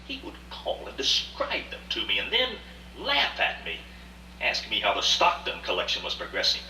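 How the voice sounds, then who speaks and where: An actor playing a vengeful, obsessive gentleman art collector in a radio drama, with formal, theatrical delivery and outbursts. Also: on the low side, wildly swooping pitch, normal speed, heavily acted. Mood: brooding, tormented. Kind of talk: live radio drama acting. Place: indoor rehearsal of a live radio play. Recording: roomy, distant mic, hum and hiss.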